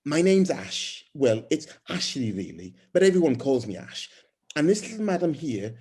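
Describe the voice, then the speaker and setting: A man voicing a warm, chatty young mother with a put-on Welsh accent. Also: a little high, big pitch swings, normal speed, slightly rough, voice pushed up. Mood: encouraging. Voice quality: chatty, tumbling storytelling.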